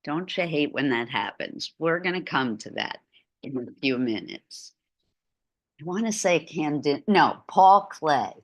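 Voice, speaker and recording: A woman's voice: low-pitched, expressive, measured pace. A middle-aged woman giving an art lecture over a video call from a room at home, with chatty asides.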